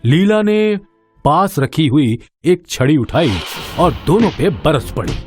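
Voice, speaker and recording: A man narrating a scripted children's story in an expressive storytelling manner. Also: a little high, slow pace, faint music behind.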